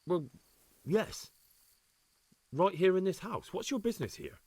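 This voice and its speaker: A man's voice: sits high, expressive, measured pace, darkish tone. An actor playing a steadfast young medieval man-at-arms in a video game, speaking directly and confidently. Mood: accusing. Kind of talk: dramatic video game acting.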